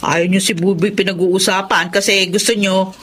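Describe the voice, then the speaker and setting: A sharp-tongued spinster daughter. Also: female voice, mid-range voice, flat delivery, moderate pace, slightly rough. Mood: sarcastic. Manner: sarcastic, sharp. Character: sharp-tongued unmarried grown daughter, resentful. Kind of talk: radio drama acting.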